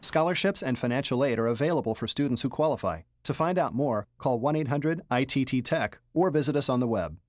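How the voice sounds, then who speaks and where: A man's voice: mid-range voice, lively intonation, brisk, hardly any pauses, no room sound. A man with an American accent reading a scripted television advert voice-over. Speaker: commercial announcer.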